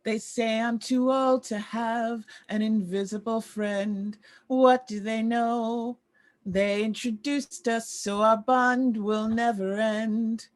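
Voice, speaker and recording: Slow-talking, theatrical, proud former child star actress's read. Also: female voice, a little high, fairly even intonation. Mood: defiant, showy. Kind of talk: table read of a screenplay, acted dialogue.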